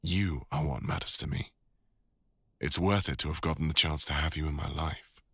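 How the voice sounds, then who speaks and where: A man with a deep British voice playing a devoted, teasing older boyfriend and father in an intimate ASMR audio roleplay. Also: moderate pace, creaky, fairly bright tone, husky, dry recording, studio quality, exaggerated voice. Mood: tender, apologetic.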